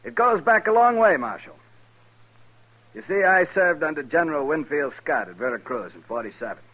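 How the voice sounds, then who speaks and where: A man's voice: on the high side, lively intonation, normal speed, a bit bright, highs cut off. A man playing a cold, smug veteran Army captain, formal and sardonic, in 1950s radio western style. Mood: reminiscing coldly.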